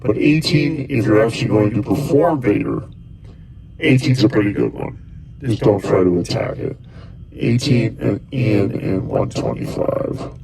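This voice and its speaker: A man's voice: fairly low pitch, measured pace, gravelly, crowd noise, low-quality recording. A man giving a casual step-by-step tutorial, thinking aloud as he presses buttons and talking in loose, rambling phrases. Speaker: costuming hobbyist giving a tutorial.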